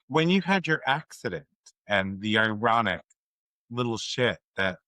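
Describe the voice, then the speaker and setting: A man's voice: fairly low pitch, big pitch swings, unhurried, sharp tone, dry recording. A man hosting a conversational interview, speaking in an earnest, encouraging way to his guest. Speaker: podcast host.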